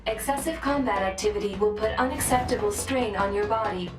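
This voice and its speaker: A woman voicing a formal, dutiful robotic support unit in a video game, speaking in measured, emotionless phrases. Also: mid-range voice, close to an everyday voice. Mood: clinical, flat. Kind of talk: scripted game status reporting. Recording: echoey, with music behind.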